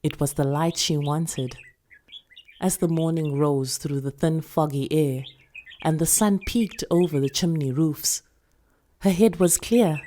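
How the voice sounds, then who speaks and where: A South African woman narrates literary fiction in a low-pitched voice. She reads from a script as an actor would, telling the story in the third person. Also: unhurried, warm tone, a little hiss or hum. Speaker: actor reading a short story.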